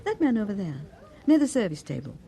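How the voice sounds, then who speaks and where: An actress playing an inquisitive, gently teasing novelist's wife in a radio drama, with a polite British delivery. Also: female voice, mid-range voice, very animated, fast talker, gravelly. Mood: curious.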